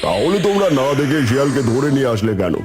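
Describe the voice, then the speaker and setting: A man's voice: medium pitch, big pitch swings, quick pace, lightly acted. Man as a stern, commanding tiger king. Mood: judging. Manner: commanding, indignant, regal. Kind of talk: animated children's fable dialogue. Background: music.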